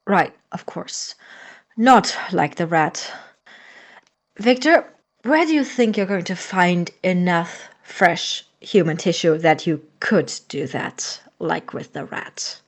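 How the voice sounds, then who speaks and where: A man's voice: high-pitched, takes it slowly, full character voice. A man playing an anxious, sarcastic young medical student, a reluctant accomplice, in a naturalistic audio drama. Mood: skeptical. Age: young adult. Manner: sarcastic, exasperated.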